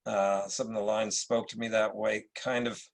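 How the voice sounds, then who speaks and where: A man's voice: on the low side, flat delivery, normal speed, vocal fry. A male actor talking casually at a table read in a thoughtful, hesitant manner.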